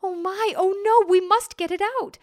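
A little storybook wife, bustling and anxious, who orders passers-by about in a high, urgent voice, played by a woman narrator. Recorded close and dry. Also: fairly even intonation, normal speed, a little mellow, dry recording. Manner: urgent and bossy.

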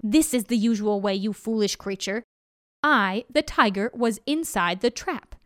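A woman's voice: medium pitch, unhurried, a bit bright, dry recording, natural voice. A woman acts out a haughty storybook tiger. He is imperious and easily exasperated, hammering out his words with impatient emphasis in a dry, close recording. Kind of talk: storybook character voice.

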